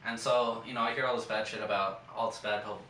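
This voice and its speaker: Brisk, bright-voiced young man. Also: on the low side, steady tone. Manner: opinionated, slangy. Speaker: co-host of a pop culture show. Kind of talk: casual banter about movies. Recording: roomy, distant mic, faint background noise.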